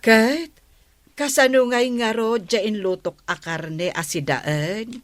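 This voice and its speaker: A woman's voice: a little high, slow, no room sound, clean studio sound. A woman playing a nagging, exasperated character who scolds insistently with an Ilocano accent in a comic radio drama. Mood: scolding.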